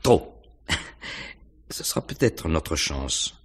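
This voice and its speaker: An actor playing a probing, pensive police inspector in a French radio mystery, questioning in a hesitant, musing way with little hums and pauses. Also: male voice, a bass voice, big pitch swings, creaky, breathy edge, exaggerated voice.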